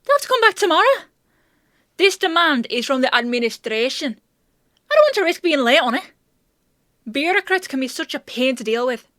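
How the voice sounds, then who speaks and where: A woman's voice: high voice, very animated, normal speed, long pauses, a bit bright, dry recording, studio quality. A woman playing a bossy, teasing female apothecary in a fantasy audio roleplay, with a chatty, sardonic, playfully scolding manner. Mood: brisk, bossy.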